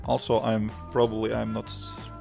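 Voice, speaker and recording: A man with a Latvian accent talks through viewer questions on a live stream. His low voice is very gravelly and flat, and he speaks in simple English with frequent restarts. Faint music plays quietly behind him. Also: normal speed. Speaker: livestreaming developer answering chat. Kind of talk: casual live-stream chat.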